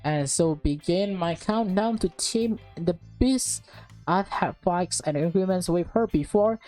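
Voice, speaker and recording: A woman's voice: on the low side, unhurried, dark tone, faint music behind. A woman reading visual novel text aloud as a let's player, with casual commentary mixed in.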